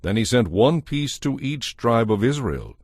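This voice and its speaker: A man narrating a dramatized Bible as a scripted story, in a measured storytelling manner. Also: mid-range voice, sing-song, darkish tone, no room sound, clean studio sound.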